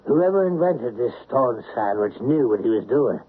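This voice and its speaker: An actor playing an irritable, gruff middle-aged burglar and father, grumbling and wisecracking bluntly in old-time radio crime drama style. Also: mid-range voice, lively intonation, normal speed, lightly acted. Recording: narrow-band hissy old radio recording.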